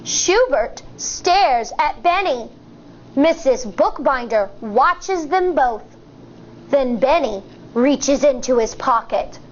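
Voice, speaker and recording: A woman teacher in her thirties reads a picture book aloud to children, animated and expressive. Her voice sits high and swoops around at an unhurried pace. The recording is a little dull, with a faint hiss behind. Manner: animated, expressive and chatty with asides. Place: studio in front of a blue backdrop.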